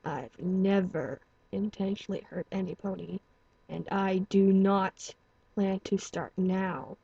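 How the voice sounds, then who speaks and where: A woman voicing a guilt-ridden, wounded male pony outcast who speaks low, flat and restrained. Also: moderate pace, breathy edge, muffled recording, lightly acted. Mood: grim.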